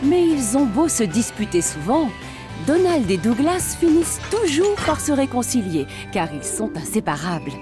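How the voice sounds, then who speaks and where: A woman narrating a cartoon story in an expressive, scripted way. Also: on the high side, normal speed. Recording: cartoon narration with music behind.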